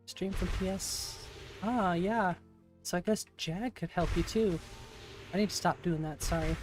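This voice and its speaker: Raspy-voiced streamer chatting with viewers. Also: medium pitch, a little slow, soft background music. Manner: chatty, reacts aloud and answers chat. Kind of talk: casual live-stream chat while gaming. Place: home room.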